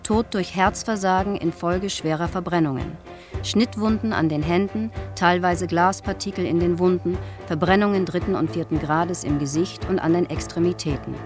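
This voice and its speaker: An actress playing an impersonal narrator who reads official files in a radio drama, formal, measured and matter-of-fact. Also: female voice, fairly low pitch, music in the background, close to an everyday voice. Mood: clinical.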